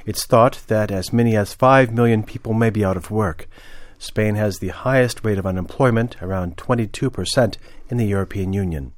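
Male radio newsreader, fairly low pitch, dry studio-like sound, scripted bulletin delivery. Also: moderate pace, dry recording. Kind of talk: scripted news reading.

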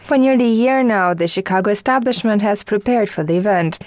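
A woman reading scripted news reports in a steady, even manner. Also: mid-range voice, a little fast, no echo. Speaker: news presenter.

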